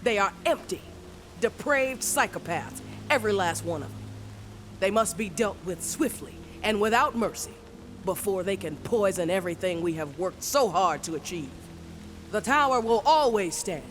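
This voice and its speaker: A woman's voice: medium pitch, expressive, normal speed, slight background noise, lightly acted. A woman voicing a stern, militant faction leader who delivers a contemptuous, declamatory propaganda broadcast in a video game. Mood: scornful, rallying.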